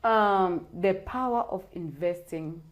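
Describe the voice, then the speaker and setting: A woman's voice: fairly low pitch, slow. An older woman, a pastor and children's mentor, talking in a preachy, emphatic way and repeating her key phrases. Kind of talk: conversational testimony.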